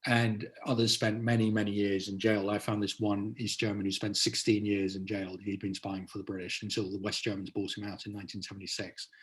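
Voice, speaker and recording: A male author giving a talk about his book in an anecdotal, explanatory style. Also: fairly low pitch. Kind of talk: presenting to an audience, anecdotal.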